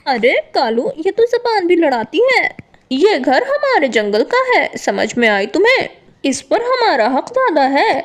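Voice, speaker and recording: A woman voicing a grumbling, complaining male crow who bullies others in an animated children's story. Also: high-pitched, unhurried. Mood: bullying. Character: grumbling, henpecked male crow, an intruding bully.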